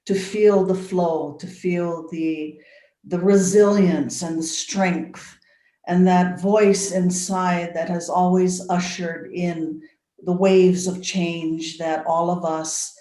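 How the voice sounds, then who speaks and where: An older woman from Hawaii speaks very slowly and soft, in a meditative, prayer-like way. Her voice is warm and mellow. It sounds echoey, like a room heard over a video call. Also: low-pitched. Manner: soft and meditative, with drawn-out pauses and repeated phrases. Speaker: invited speaker. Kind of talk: slow, prayerful spoken blessing.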